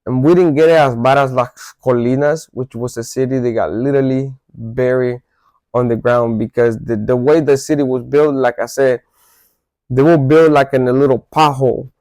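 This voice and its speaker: A man's voice: medium pitch, fairly even intonation, normal speed, darkish tone, no echo. A man from El Salvador, telling his life story to his viewers in a loose, conversational way with false starts and self-corrections. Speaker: vlogger. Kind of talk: casual storytelling to camera.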